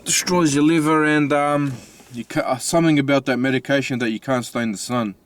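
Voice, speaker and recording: An Australian man with a slightly mixed accent from his Italian family, talking casually from inside his car with many pauses and restarts. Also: mid-range voice, steady tone. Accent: Australian with Italian-family influence. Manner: halting, many fillers and restarts. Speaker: vlogger. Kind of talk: casual personal vlog.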